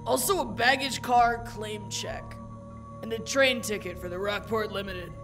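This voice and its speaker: A man voicing a matter-of-fact officer briefing agents in a comic dub, blunt, businesslike and creaky. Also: high-pitched, a little slow, music in the background.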